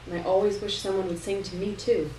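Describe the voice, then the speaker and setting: A woman narrates literary fiction at a live reading, in a medium-pitched voice. She is picked up from a little way off in an echoey room, with noise behind her. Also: level tone, fast, dark tone. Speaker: story reader. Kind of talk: literary narration read aloud to an audience. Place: live reading in a roomy indoor venue. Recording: roomy distant live recording, noticeable hiss.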